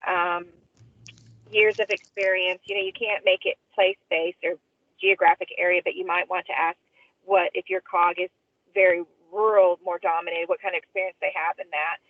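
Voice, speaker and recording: A woman's voice: lowish voice, little pitch movement, normal speed, no room sound. A woman calling in from a car, giving informal advice on a conference call over the phone. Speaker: regional council staffer on call. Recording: dry, band-limited phone line.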